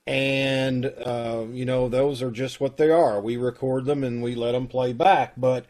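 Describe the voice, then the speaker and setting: A man hosts a live video call from his home. His voice sits at an ordinary middle pitch, and he talks in a loose, folksy way with plenty of fillers. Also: fairly even intonation, measured pace, darkish tone. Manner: folksy and rambling, with many fillers. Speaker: host of a community theater troupe.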